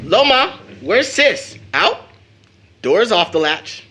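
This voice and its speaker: A man's voice: sits high, big pitch swings, slow, sharp tone, slightly rough, heavily acted. A man acting an eager, cheeky, devoted teenage son in an audio drama, boyish and slangy. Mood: cheerful, affectionate.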